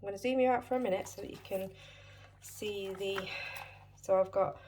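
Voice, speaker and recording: A woman's voice: medium pitch, fairly even intonation, a little fast, mellow, a little hiss or hum. A British woman hosting a casual budgeting vlog, a bit wheezy and short of breath as she gets over a chest infection, chatty and apologetic with many ums. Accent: British English. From United Kingdom. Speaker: budgeting channel host.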